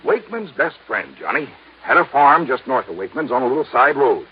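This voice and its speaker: Husky, folksy insurance agent. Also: male voice, medium pitch, very animated, fairly quick. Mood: earnest explaining. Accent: American. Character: folksy, jovial, talkative male insurance agent. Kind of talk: 1950s radio drama acting. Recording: muffled vintage radio recording.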